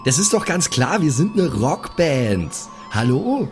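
A man's voice: medium pitch, very animated, unhurried, darkish tone, pitched above the performer's own voice. A cheeky, insulting rocker, acted by a man in a raised, indignant voice. The character is brash and foul-mouthed, performed over faint music in an improvised audio comedy. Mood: indignant, scornful. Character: brash, insulting, indignant rock band member. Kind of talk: improvised audio drama acting.

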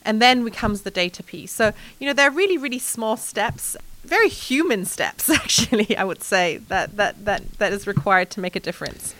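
A woman from Hong Kong, a sustainability executive answering interview questions in a lively way, with frequent fillers and light laughs. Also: medium pitch, moderate pace, hardly any pauses, poor audio. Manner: animated, laughs often, many fillers.

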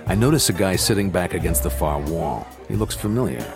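A man's voice: sits low, expressive, brisk, gravelly. An actor playing a world-weary barfly who tells his tale in hardboiled radio drama style, laconic and musing. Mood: wry. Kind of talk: radio drama narration, hardboiled first person. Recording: loud music bed behind the voice.

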